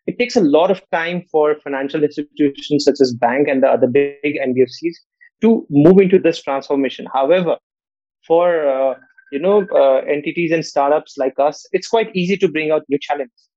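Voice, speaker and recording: A man from Nepal, speaking as a podcast guest at a measured pace. He keeps tagging his sentences with "right?". His voice is dark and mellow, and the audio sounds dry and slightly dull, like a lo-fi call. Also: a little high, dry recording. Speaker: guest, fintech co-founder. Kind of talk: podcast interview.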